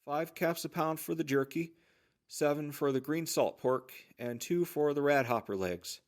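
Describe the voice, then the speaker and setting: A man's voice: on the high side, normal speed, lightly acted. A man playing a chatty, obliging general-store trader in a post-apocalyptic audio drama, full of eager sales patter. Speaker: voice actor playing a shopkeeper.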